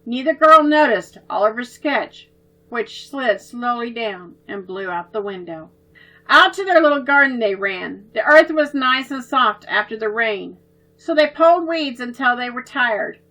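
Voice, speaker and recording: Bright-voiced woman telling a children's story. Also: a little high, unhurried, low-quality recording. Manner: expressive, gentle reading.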